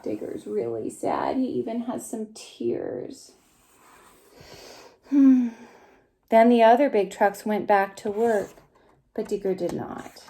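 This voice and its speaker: A woman reading a picture book aloud to young children in an expressive, gentle way, pausing to ask them questions. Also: fairly high pitch, vocal fry. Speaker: preschool story time reader.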